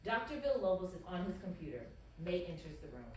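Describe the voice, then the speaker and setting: Female stage-direction reader, animated scripted delivery, far from the mic with clear background noise. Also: fairly low pitch, quick pace, heavy reverb. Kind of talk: scripted narration at a table read. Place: echoey room at a group table read.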